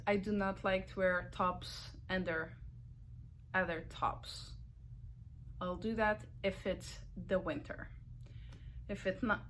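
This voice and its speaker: A young woman with a Portuguese accent chats slowly and casually to the camera as she hosts her knitting video. Her pitch is moderate, and she takes her time, with fillers and small self-corrections. A faint hum of room noise sits behind her. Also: a bit bright. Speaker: knitting podcast host.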